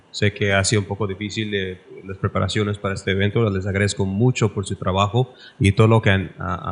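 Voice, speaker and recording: A man from Canada giving a formal lecture from his notes, careful and measured, slightly halting. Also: fairly low pitch. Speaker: guest lecturer.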